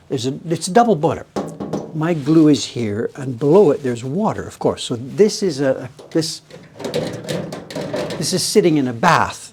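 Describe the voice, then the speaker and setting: A man's voice: mid-range voice, darkish tone, slight background noise. Chatty man in his seventies. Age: 70s. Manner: rambling, chatty, breaks into amused laughter. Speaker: live-stream host. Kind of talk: casual chat while demonstrating workshop tools.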